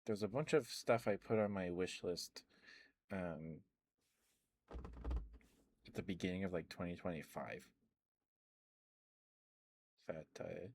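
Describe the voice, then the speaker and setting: A man rambling in a soft, meandering ASMR chat, with stammered restarts and fillers, close to the microphone. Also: sits low, slow pace, long pauses, slight background noise. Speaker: ASMR streamer.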